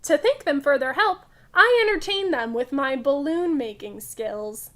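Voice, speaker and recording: An excitable young storybook adventurer voiced by a woman reading aloud speaks in a high voice. The delivery is animated and emphatic, yet takes its time over the phrases. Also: unhurried, full character voice. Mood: excited.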